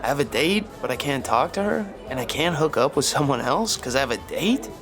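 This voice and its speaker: A man's voice: medium pitch, sing-song, brisk, over music. A man playing a pushy flirty guy at a party. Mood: pleading. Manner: wheedling, persistent.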